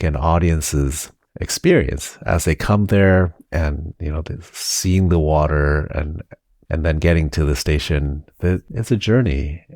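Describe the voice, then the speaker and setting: A man with an extremely deep voice hosts a casual interview. He speaks in a friendly, easygoing way, often doubling words as he gathers his thoughts. The sound is dry and close to the mic. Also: darkish tone, no echo. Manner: friendly, repeats words while finding them. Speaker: host.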